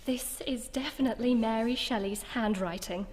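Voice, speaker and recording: Actress as an earnest, higher-voiced young woman. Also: moderate pace, darkish tone, slight background noise, close to an everyday voice. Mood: intrigued. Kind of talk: radio play dialogue acting. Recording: hall reverb.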